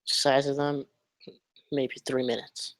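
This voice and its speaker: A man's voice: medium pitch, little pitch movement, slow pace, no echo. A man reading a terse, tough male opium den keeper at a screenplay table read, in clipped phrases. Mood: terse, alert.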